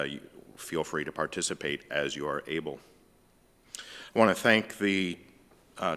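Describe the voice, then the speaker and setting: Very deep, bright-edged hoarse male co-host, rambling with fillers, long church-hall reverb. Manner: rambling, with many fillers and restarts. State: scratchy, hoarse voice after an illness. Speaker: co-host of a science discussion. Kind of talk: casual hosted discussion.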